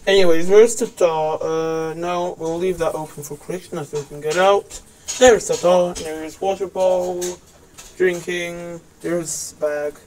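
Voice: medium pitch, steady tone, slow, a little mellow, rough recording. A young vlogger with a voice changer effect, chatting casually while walking around a house. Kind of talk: casual home vlog. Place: inside a house, walking about.

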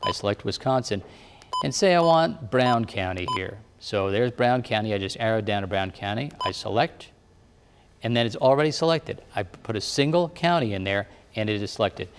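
A man's voice: fairly low pitch, animated, moderate pace, in a large hall, background noise. Patient TV weatherman explaining step by step. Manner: plain, patient instructive talk. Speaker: TV meteorologist. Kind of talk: step-by-step demonstration. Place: TV studio weather set.

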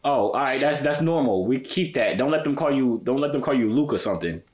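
A man's voice: medium pitch, lively intonation, fairly quick. A man in his twenties with an American accent chatting casually in slang, playful and teasing. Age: 20s. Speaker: vlogger chatting with strangers online.

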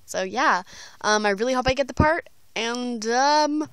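A woman's voice: a little high, expressive, measured pace, a bit bright, clear, resonant tone, dry recording. A woman chatting casually and playfully, rambling in a goofy, self-mocking way. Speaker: amateur voice actor vlogger.

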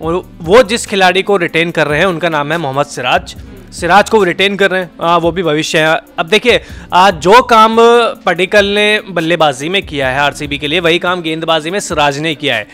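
A man's voice: a little high, normal speed, pushed and bright, soft background music. A man in his thirties, a cricket analyst on a studio panel, thinking aloud and explaining at length into a handheld mic. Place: indoor TV studio set.

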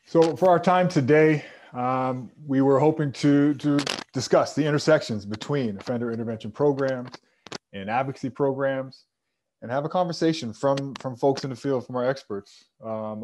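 A man in his forties moderating a video call panel from an office, relaxed with many fillers. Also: mid-range voice, slight background noise. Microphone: earbud headset on a video call.

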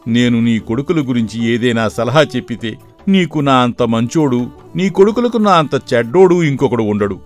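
A man playing a kindly elderly village uncle who consoles and advises in an animated moral story. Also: mid-range voice, moderate pace, natural voice. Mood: consoling. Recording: background music throughout.

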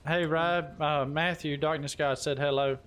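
A man's voice: on the high side, steady tone, unhurried, a bit bright, slight background noise. A man chatting casually as he hosts a live game stream. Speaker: livestream host and gamer.

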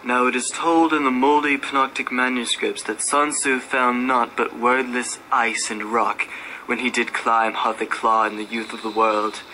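A man's voice: medium pitch, fairly even intonation, moderate pace, bright, forceful tone, low-quality recording. A man giving a solemn, theatrical dramatic reading of a horror story as narrator. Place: radio station room. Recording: faint background noise at radio station.

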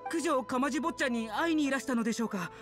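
Anxious, deferential young retainer. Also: male voice, very high-pitched, rapid-fire, music in the background. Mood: worried, deferential. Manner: humble, apologetic, worried. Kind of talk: Japanese anime game voice acting.

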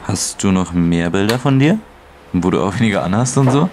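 A man's voice: sits low, animated, fairly quick, background noise. A young man playing a would-be lover reading online chat messages in a radio play. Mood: forward, tentative.

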